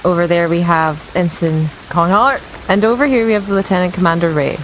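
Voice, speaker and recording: A woman's voice: lowish voice, fairly quick, low-quality recording. A woman playing a tabletop roleplaying game, casually speaking in character in her everyday voice. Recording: faint rain ambience noise.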